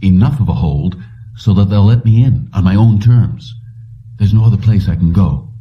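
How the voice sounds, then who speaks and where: A man's voice: lowish voice, normal speed, distant mic, lo-fi sound, close to an everyday voice. A man reading a determined, calculating fugitive in an audiobook, speaking insistently and explaining himself. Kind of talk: audiobook character dialogue.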